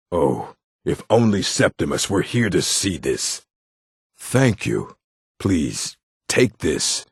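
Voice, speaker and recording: A man voicing a gruff, stern orc for a video game, with a gravelly, husky voice and a clipped, commanding manner. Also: sits low, no room sound, studio quality, exaggerated voice. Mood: reverent, astonished. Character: gruff male orc, stern bookish keeper and warrior. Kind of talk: video game character voice acting.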